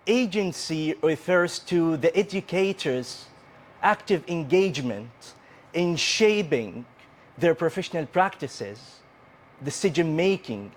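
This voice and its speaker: Slow-paced Egyptian man presenting, higher voice. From Upper Egypt. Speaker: conference presenter, English teacher. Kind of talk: prepared conference presentation.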